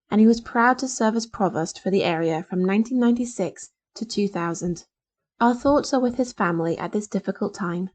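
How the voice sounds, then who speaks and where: A woman reading local newspaper articles aloud as a volunteer, in a deliberate, formal way. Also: mid-range voice, a little mellow. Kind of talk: scripted news reading for a talking newspaper.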